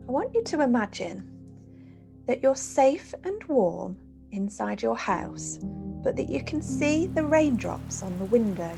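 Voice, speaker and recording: Female relaxation guide for a children's bedtime meditation: medium pitch, gentle, pausing delivery, set over prominent music and rainfall. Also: gravelly. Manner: soothing, gentle, unhurried instruction with pauses. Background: music and rain sounds.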